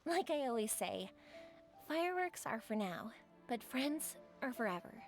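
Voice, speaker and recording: A warm young game character with a high voice. She sounds philosophical and hopeful, with faint music behind. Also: sing-song, a little slow. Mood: reflective, hopeful. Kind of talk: video game voice acting.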